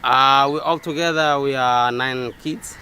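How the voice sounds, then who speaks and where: Local man with a Swahili accent, hesitant interviewee, average pitch, quiet ambience behind. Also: fairly even intonation, a little hiss or hum. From Zanzibar, Tanzania. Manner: halting, many uh fillers and restarts. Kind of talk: casual interview answers.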